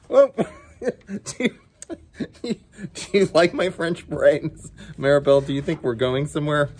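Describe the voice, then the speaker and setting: A man's voice: fairly high pitch, big pitch swings, slow pace, long pauses, a little mellow. A man reading a children's picture book aloud as story time host, with playful, chatty asides to his pet dog.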